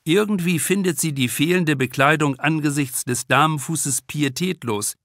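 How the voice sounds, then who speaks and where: A man reads a comic crime audiobook as its author. His voice is fairly high and bright, the storytelling deliberate and expressive, in a dry studio. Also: no room sound. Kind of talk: scripted narration of a comic crime novel.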